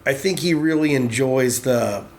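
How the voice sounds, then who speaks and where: A man's voice: mid-range voice, unhurried, darkish tone, slight background noise. A man in his forties chatting casually at a tattoo shop, rambling and swearing, often restarting his sentences. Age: 40s. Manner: rambling, profane, many restarts. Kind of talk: casual chat.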